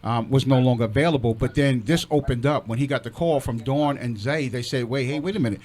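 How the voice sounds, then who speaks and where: A man's voice: medium pitch, quick pace, a little mellow. A middle-aged or older man co-hosting a casual live radio show, effusive and repeating phrases for emphasis. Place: radio studio with guests. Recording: other voices talking around him.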